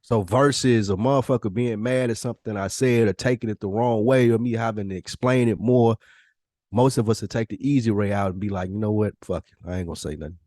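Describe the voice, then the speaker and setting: A man with a slightly low voice talks casually as a podcast co-host. He is blunt and slangy, swears freely and repeats himself to drive points home, in a very dry recording. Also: brisk, a little mellow, no echo. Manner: blunt, profane, slangy, emphatic repetition.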